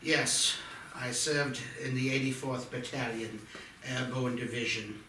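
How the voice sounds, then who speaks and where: A man's voice: medium pitch, steady tone, a little slow, bright, forceful tone. A man at a screenplay table read playing an earnest, insistent psychiatrist and war veteran in his fifties. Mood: earnest, calmer. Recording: noisy, echoey room, distant mic.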